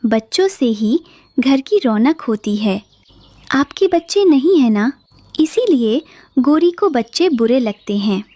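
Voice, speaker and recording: A gentle cartoon mama sparrow, played by a woman, with a fairly high, mellow voice. She speaks slowly, tenderly and patiently. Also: lively intonation, no room sound, exaggerated voice. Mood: pleading. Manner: tender, coaxing. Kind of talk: children's cartoon voice acting.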